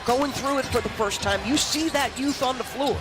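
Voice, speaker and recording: A man's voice: extremely high pitch, moderate pace, loud music behind the voice. A man giving live basketball analysis, explaining emphatically and posing rhetorical questions as color commentator. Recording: very noisy arena broadcast relayed on stream.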